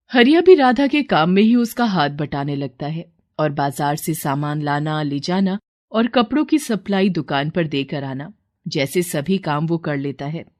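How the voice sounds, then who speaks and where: A woman's voice: on the low side, a little mellow. A woman narrating a scripted moral story as a storyteller.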